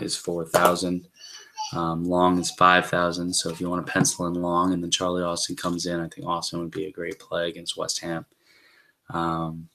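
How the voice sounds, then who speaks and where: A man in his thirties, a little hoarse from being sick, giving a casual solo fantasy football rundown into a webcam in a small room, with lots of fillers and throat clearing. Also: low voice, a bit bright. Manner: rambling with many fillers and restarts. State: slightly hoarse from illness. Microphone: webcam at desk.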